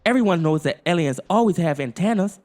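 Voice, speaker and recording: A man's voice: high-pitched, expressive, fairly quick, a little mellow, soft background music, voice pushed up. A man voicing a bossy, breezy studio director in a children's storybook, speaking emphatically. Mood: brisk, dismissive.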